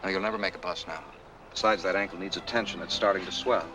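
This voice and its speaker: A man's voice: deep voice, steady tone, fast talker, natural voice, poor audio. An actor playing a lovestruck, defensive man in 1940s film style, speaking earnestly and insistently. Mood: concerned, gentle. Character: lovestruck, defensive young man searching for his missing sweetheart. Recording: 1940s film soundtrack with music.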